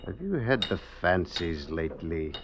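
A man's voice: low-pitched, very animated, normal speed. A man playing a scheming, coaxing stonemason with folksy old-country speech in an old radio drama. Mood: sly probing. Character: scheming male stonemason, sly, coaxing poisoner. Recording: muffled, noisy vintage radio recording.

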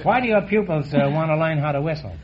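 Wisecracking man hosting an old quiz show. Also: fairly high pitch. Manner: wisecracking, quick puns. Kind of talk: joking quiz show banter. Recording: dull old radio broadcast with hiss.

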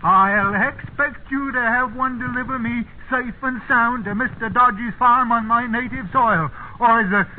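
An actor playing a stubborn, grumbling old farmhand with a rural English accent in a 1950s radio drama. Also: male voice, very high voice, fairly even intonation, a little slow, hardly any pauses. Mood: indignant. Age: older. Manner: folksy, complaining, rambling. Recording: tinny, noisy 1950s radio recording.

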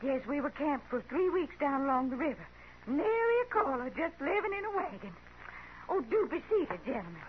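Elderly frontier mother, high voice, rural American accent, meek and chatty, radio Western, noisy old recording. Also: sing-song, moderate pace, lo-fi sound. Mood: eager, hospitable. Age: older. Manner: folksy, chatty, apologetic. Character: lonely, talkative old frontier mother, meekly devoted to her son. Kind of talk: 1950s radio Western acting.

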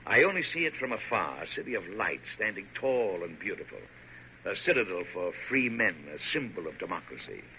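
An actor in a vintage radio drama playing a dignified, visionary American president, grand and declamatory, quick to exasperation. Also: male voice, lowish voice, sing-song, bright, forceful tone, heavily acted. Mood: dreamy, proud. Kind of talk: 1940s radio drama acting. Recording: noisy, muffled old radio broadcast.

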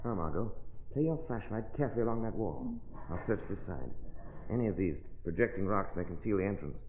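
An actor playing a calm, commanding amateur sleuth in an old radio mystery. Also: male voice, lowish voice, fast, lightly acted. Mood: hushed, intent. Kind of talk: 1940s radio acting. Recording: echoey, noisy, tinny old radio recording.

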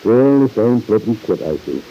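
An actor playing an earnest, patient uncle, speaking in a measured, kindly way in an old-time radio soap opera. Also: male voice, fairly low pitch, very animated. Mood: pleasantly formal. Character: earnest, concerned uncle, a bank man talking gently to his niece. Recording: muffled hissy old radio recording.

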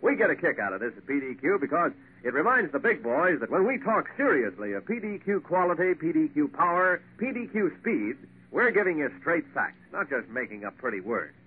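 A man's voice: on the high side, sing-song, a little fast. An American man reading a vintage radio commercial in a folksy, emphatic sales manner. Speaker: commercial announcer. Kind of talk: 1940s radio advertisement. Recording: tinny, hissy vintage radio broadcast.

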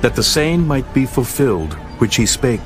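A man narrating scripture from a script in a measured, formal manner. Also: medium pitch, sing-song. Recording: faint music bed behind voice.

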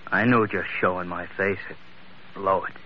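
Man playing low, grim avenger, muffled old radio. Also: steady tone, moderate pace, natural voice. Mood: gloating. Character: grim, vengeful man gloating over long-awaited payback. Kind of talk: 1940s radio melodrama acting. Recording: muffled old radio recording, faint hiss.

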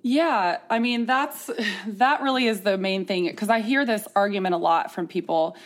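A woman's voice: medium pitch, normal speed. A woman hosting a candid interview, talking casually with earnest emphasis. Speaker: podcast host.

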